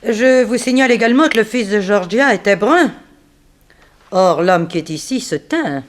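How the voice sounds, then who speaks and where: A woman's voice: mid-range voice, lively intonation, close to an everyday voice. Composed, proud woman under suspicion played by an actress. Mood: suspicious, reasoning. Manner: formal, measured, self-controlled. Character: composed, proud female companion under suspicion, coolly reasoning. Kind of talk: 1960s French radio drama acting.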